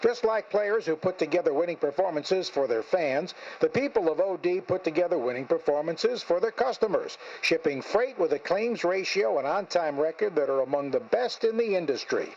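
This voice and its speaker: Male commercial announcer, animated and fairly high, dull radio sound. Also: normal speed, few pauses. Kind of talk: scripted sponsor ad read.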